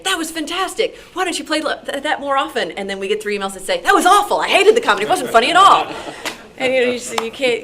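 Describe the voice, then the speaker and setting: An American woman speaking informally and enthusiastically at a club meeting, answering questions as a radio programmer. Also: mid-range voice. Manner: enthusiastic, conversational. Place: club meeting, live room. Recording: noisy live meeting-room recording.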